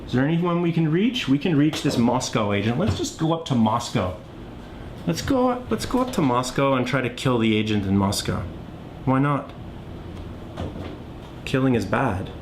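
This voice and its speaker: A man with a mid-range voice walks through a board game in a casual, unscripted way. He thinks aloud as he demonstrates each move, like a designer teaching players. The recording has noticeable noise and a low rumble. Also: expressive, normal speed, long pauses. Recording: clearly noisy, low rumble.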